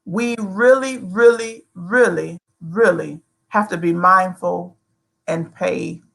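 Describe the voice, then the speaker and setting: A woman co-hosting a talk show, asking questions in a measured, deliberate way. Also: lowish voice, gravelly, low-quality recording. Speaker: show co-host. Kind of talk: casual chat.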